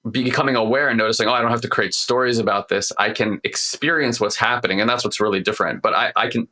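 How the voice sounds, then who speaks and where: A middle-aged man, hoarse after losing his voice, co-hosting a podcast and talking earnestly in a rambling way, restarting sentences often. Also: mid-range voice, fast talker, pushed and bright. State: voice partly lost, hoarse. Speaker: podcast co-host. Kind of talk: casual spiritual coaching talk.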